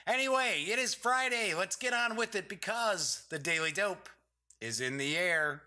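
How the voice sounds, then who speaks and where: A man hosting a casual board game news show, chatty and upbeat, his voice a bit congested and raspy. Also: sits high, expressive, normal speed, pushed and bright. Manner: chatty, upbeat, reads press releases aloud.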